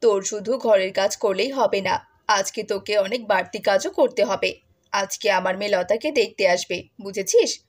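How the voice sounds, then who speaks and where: A woman playing a harsh, bossy middle-aged stepmother in a cartoon moral tale, with a nagging way of talking and a husky voice. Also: medium pitch, normal speed, close to an everyday voice. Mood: scolding.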